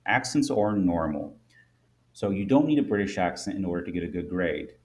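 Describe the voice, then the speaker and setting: Deep-voiced man, Midwest American accent, English teacher, clear and measured instructive read. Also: animated, darkish tone. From United States. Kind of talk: scripted explainer lesson.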